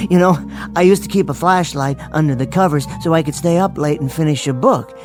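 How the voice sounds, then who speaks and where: An actor playing a meek, bookish middle-aged husband in a radio drama, stammering and politely apologetic. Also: male voice, on the high side, a little fast, darkish tone, faint music behind, close to an everyday voice. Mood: meek, wistful. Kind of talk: old-time radio drama acting.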